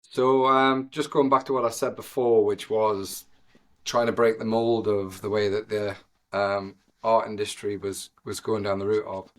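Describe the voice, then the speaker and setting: A man in his forties with a Geordie accent, a podcast guest who rambles with lots of pauses and "you know". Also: lowish voice, flat delivery. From North East England. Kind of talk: casual podcast conversation.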